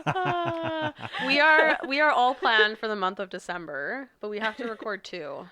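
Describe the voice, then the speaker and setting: A woman's voice: fairly high pitch, animated, measured pace, sharp tone, dry recording. A woman co-hosting a casual podcast chat, wry and teasing. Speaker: co-host.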